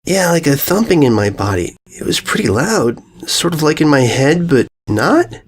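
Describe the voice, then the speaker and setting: A man's voice: on the high side, sing-song, normal speed, husky, voice pushed up. Man voicing a hesitant, stammering young filly. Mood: sheepish.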